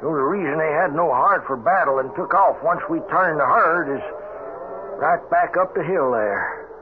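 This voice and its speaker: An actor playing a folksy, superstitious cowhand with a rustic American Western drawl in an old-time radio drama. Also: male voice, a little high, lively intonation, moderate pace, close to an everyday voice. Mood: troubled. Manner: drawling rustic cowboy talk. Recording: tinny, noisy old radio recording.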